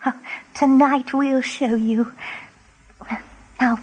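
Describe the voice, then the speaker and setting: A woman's voice: high-pitched, steady tone, drawn-out words, breathy edge, heavily acted, rough recording. A woman playing an anxious but plucky young doe rabbit in a 1980s radio play, hesitant and earnest. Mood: plucky, coy.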